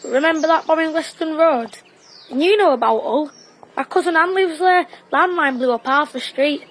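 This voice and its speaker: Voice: high voice, normal speed, a bit bright. An actor playing a cocky, teasing wartime boy in an audio drama, talking in clipped, colloquial phrases. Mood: boastful. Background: birdsong.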